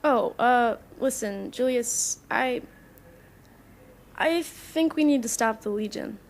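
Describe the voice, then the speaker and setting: A woman's voice: fairly high pitch, slow. An actress playing an earnest, nervous young woman in an audio drama, speaking hesitantly. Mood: grave.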